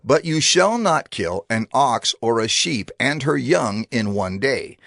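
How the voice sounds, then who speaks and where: A gravelly law-giver voice, declaims every rule, lots of rise and fall. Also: male voice, medium pitch, sing-song, a bit bright, no echo, clean studio sound, exaggerated voice. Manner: measured, declaiming, authoritative. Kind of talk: dramatized scripture reading.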